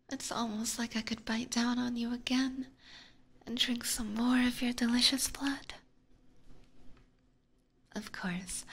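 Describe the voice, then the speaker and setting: A woman voicing an adoring, possessive vampire catgirl with sweet menace, whispering slowly and tenderly in an ASMR roleplay. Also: a little high, heavily acted. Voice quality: breathy whisper. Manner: slow, tender, coaxing.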